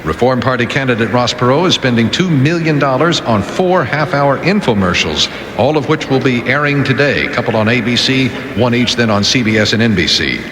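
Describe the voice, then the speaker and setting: A man's voice: medium pitch, lively intonation, fairly quick, hardly any pauses. A man reading a scripted radio news bulletin. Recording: echoey, reverberant, faint background noise.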